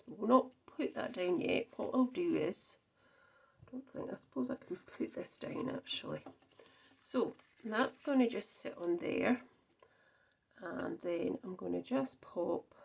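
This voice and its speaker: A woman's voice: on the low side, creaky, a little mellow, husky. A Scottish woman chatting through a card-making demonstration, thinking aloud with frequent ums and small self-corrections. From Scotland. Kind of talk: casual crafting demonstration.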